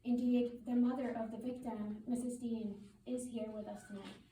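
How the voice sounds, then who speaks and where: Woman w/ highish voice reading a formal statement at a public meeting, firm, deliberate, echoey room, bit of noise. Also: little pitch movement, mellow. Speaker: community spokesperson. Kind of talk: prepared public statement read aloud. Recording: roomy meeting hall, distant mic, faint noise.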